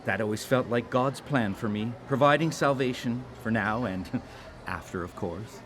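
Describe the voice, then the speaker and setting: A man's voice: mid-range voice, moderate pace, fairly bright tone, slight background noise, lightly acted. A man playing a pious, modest small-town man on a date in a live radio drama, polite and hesitant. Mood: earnest.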